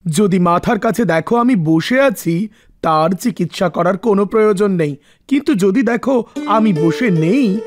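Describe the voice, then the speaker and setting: An actor voicing a wistful, brooding god of death in a cartoon story, musing in a deliberate manner. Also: mid-range voice, close to an everyday voice. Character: Yamraj, male god of death, wistful, brooding, advising father. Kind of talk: Bengali animated storybook dialogue.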